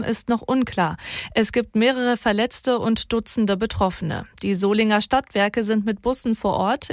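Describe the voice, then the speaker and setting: Woman, regional radio news, clear even reading, dry studio, mid pitch. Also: dry recording.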